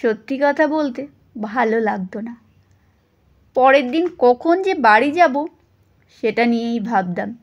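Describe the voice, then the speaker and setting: A woman's voice: fairly high pitch, expressive, measured pace, long pauses, dull audio. A woman reading a first-person story as scripted audio narration.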